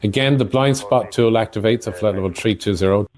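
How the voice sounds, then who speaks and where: A man giving a measured, technical explanatory narration of air traffic control as a podcast narrator. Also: on the low side, a little mellow.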